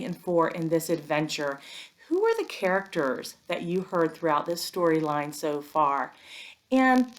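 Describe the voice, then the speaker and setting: A woman working as a first-grade teacher, giving an expressive, instructive read-aloud lesson for young children. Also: on the low side, rough recording.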